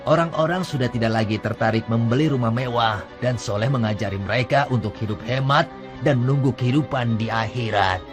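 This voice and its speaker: A cartoon merchant, voiced by a man who grumbles in a middle-pitched voice about lost trade, with loud music underneath. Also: a little fast, close to an everyday voice. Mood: grumbling.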